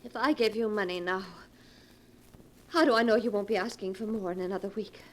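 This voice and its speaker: An actress playing a frightened, desperate wife, breathless and pleading, in 1940s radio melodrama style. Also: female voice, medium pitch, fairly even intonation, brisk, close to an everyday voice. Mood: fearful defiance. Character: frightened, desperate wife hiding a dark secret. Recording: noisy old 1940s radio recording.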